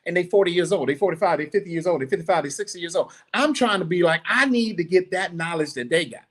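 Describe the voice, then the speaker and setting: A middle-aged man hosting a podcast, giving a blunt, preachy monologue with animated asides. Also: high voice, fast talker. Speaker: podcast host. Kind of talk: casual monologue.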